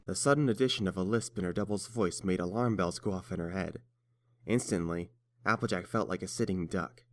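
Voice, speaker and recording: A man reading scripted story narration as the narrator of a fan fiction tale. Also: fairly low pitch.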